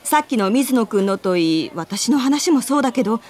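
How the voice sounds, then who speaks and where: A woman playing a perky, forthright young senior colleague, expressive and casual, in a radio drama. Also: a little high, brisk, close to an everyday voice. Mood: challenging.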